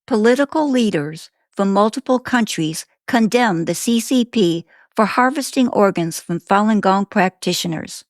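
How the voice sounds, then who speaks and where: Woman, newsreader, scripted narration, measured formal delivery, mid-range pitch, very dry close recording. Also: animated, no room sound. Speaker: news reader.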